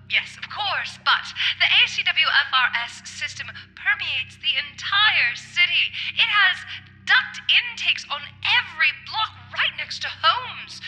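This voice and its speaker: A high, bright, flustered mer envoy. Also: female voice, heavily acted, poor audio. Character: earnest, flustered mer-woman council envoy, diplomatic but exasperated. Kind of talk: audio drama acting.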